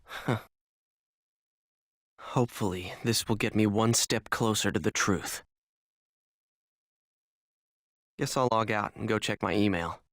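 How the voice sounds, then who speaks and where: A curt, sarcastic young hero. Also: male voice, fairly low pitch, normal speed, fairly bright tone, dry recording, clean studio sound. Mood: thoughtful. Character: brusque young male player-fighter, aloof and sarcastic.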